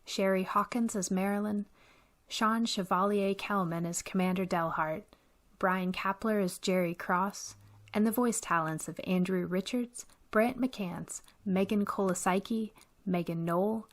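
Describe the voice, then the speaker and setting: Female announcer, scripted closing credits, average pitch. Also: measured pace.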